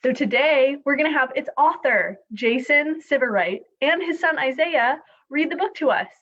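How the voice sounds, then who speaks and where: A woman with a fairly high voice introduces a children's story time as its host. She speaks straight to young viewers in a presenter's manner. The audio is slightly dull and lo-fi. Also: lively intonation, moderate pace, fairly bright tone. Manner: addresses young viewers with questions.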